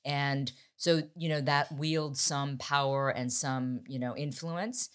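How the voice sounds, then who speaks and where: A woman's voice: extremely low pitch, fairly even intonation, slow, smooth. An American woman in her fifties talking candidly and emphatically as the host of a conversational panel. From Ohio, American Midwest. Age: 50s. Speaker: talk show host and journalist.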